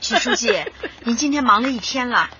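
A woman's voice: a little high, moderate pace, noisy background, close to an everyday voice. An actress playing a young female community worker, deferential and admiring toward her boss, in a radio drama. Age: young adult. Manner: deferential, expressive. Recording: dull band-limited radio drama, music behind.

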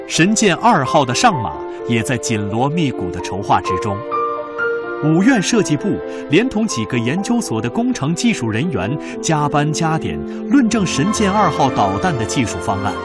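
A man's voice: fairly high pitch, sing-song, normal speed. A man reading scripted dramatic narration for a historical radio drama. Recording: music behind the narration. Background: background music.

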